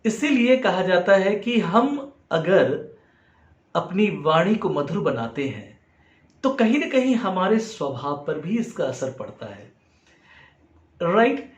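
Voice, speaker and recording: An Indian man giving an explanatory music lesson in a halting, reflective way, dropping English phrases into his talk. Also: high voice, very animated. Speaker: music teacher. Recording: roomy, echoing sound.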